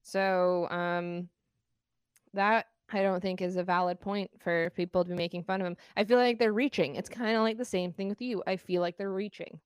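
A woman's voice: on the low side, fairly even intonation, normal speed, smooth, no room sound, studio quality. A woman co-hosting a podcast, chatting casually with lots of fillers and restarts. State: asthmatic cough at times. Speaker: podcast co-host. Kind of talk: casual chat.

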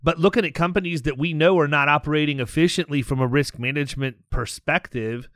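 A man's voice: fairly high pitch, brisk, a bit bright, dry recording, studio quality. A man hosting a casual podcast interview, talking informally and enthusiastically with his guest. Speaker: podcast host, insurance and risk advisor.

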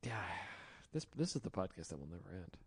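A hoarse man in a garage, joking his way through a late-night chat. His pitch swings widely as he teases and laughs. He sounds worn out. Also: very animated, a little fast, darkish tone. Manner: tired, joking, laughs often. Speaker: podcast host. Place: home garage.

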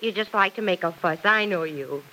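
An actress playing a gentle young woman nursing a patient, coaxing and fondly chiding in old radio drama style. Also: lowish voice, moderate pace. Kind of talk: 1940s radio drama acting. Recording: noisy old radio recording.

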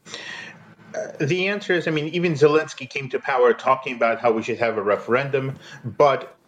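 A man's voice: medium pitch, fairly quick, fairly bright tone, a little hiss or hum, rough recording. Blunt male journalist from eastern Ukraine. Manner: blunt, fillers with uh, critical. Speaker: journalist guest. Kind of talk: interview answers.

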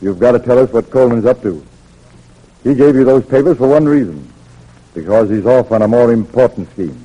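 An actor in an old-time radio drama playing an older general, measured and fatherly, pressing with coaxing authority. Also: medium pitch, animated, mellow, close to an everyday voice, low-quality recording. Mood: grave, coaxing. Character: fatherly, persistent older Union general questioning a prisoner.

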